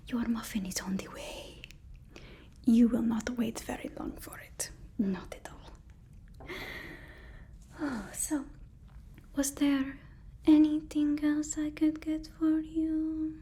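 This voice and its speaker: A woman playing a nervous, fawning personal assistant to a super villain in an ASMR roleplay, soft-spoken, deferential and hesitant. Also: high-pitched, fairly even intonation, slow pace, long pauses, a little hiss or hum. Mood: eager, fawning.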